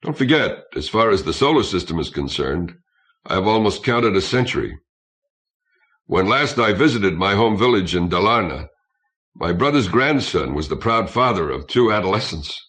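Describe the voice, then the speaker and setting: A man's voice: low-pitched, animated, normal speed, a bit bright. A man voicing a reserved starship captain in his fifties for an audiobook, speaking formally and courteously.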